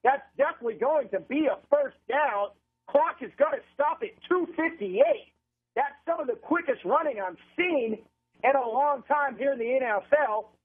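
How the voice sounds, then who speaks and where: An American man with a husky voice doing live football play-by-play as a radio announcer, over a narrow, tinny phone-line feed. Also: very high voice. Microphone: live radio broadcast feed from stadium.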